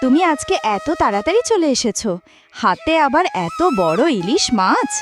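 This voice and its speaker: A woman's voice: high-pitched, huge pitch swings, normal speed, music in the background. A woman voicing an affectionate, chatty young village wife in a cartoon folk tale. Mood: mildly scolding, fond. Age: young adult.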